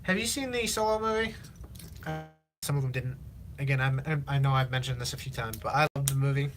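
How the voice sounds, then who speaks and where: A teenage man from Illinois, chatting casually on a live stream while he builds, rambling with lots of fillers, his voice slightly raspy from long talking. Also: mid-range voice. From Illinois, USA. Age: teens. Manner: rambling, halting, many fillers and restarts. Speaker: livestreamer.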